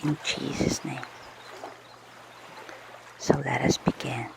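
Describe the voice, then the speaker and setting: Female ASMR prayer host: breathy whisper with gravelly creak, very slow deliberate delivery, light water ambience underneath. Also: rumbling low, flat delivery, noisy background. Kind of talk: whispered ASMR guided prayer and scripture reading. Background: ocean water ambience.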